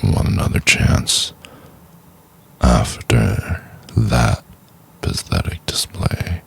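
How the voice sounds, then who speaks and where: Man acting very deep, gravelly, contemptuous boss. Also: lively intonation, exaggerated voice. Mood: scornful. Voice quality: husky, gravelly. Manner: condescending, deliberate, breathy half-whispered delivery. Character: cold, domineering, contemptuous male chief executive. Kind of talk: intimate ASMR roleplay, acted monologue.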